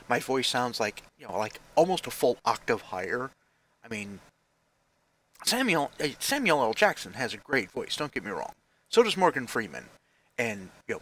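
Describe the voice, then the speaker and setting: Man in his forties, bright forward voice, headset mic, rambling, self-deprecating vlog chatter. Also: mid-range voice. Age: 40s. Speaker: vlogger. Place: basement room at home.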